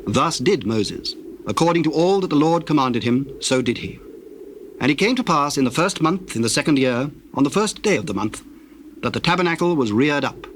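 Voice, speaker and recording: A man's voice: a little high, animated. Solemn male narrator, faint background noise. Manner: solemn, measured, deliberate. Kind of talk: dramatized scripture narration.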